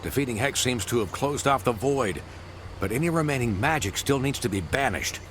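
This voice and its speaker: A man's voice: mid-range voice, animated, a little fast, a bit bright, lightly acted. A man voicing a cheerful, talkative old grandfather in a cartoon video game, genial and enthusiastic. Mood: helpful. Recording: music under the voice.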